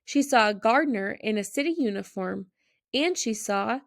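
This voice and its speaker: Female children's storybook narrator: expressive read-aloud delivery, noticeable vocal fry, close dry sound. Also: fairly high pitch, moderate pace, no room sound.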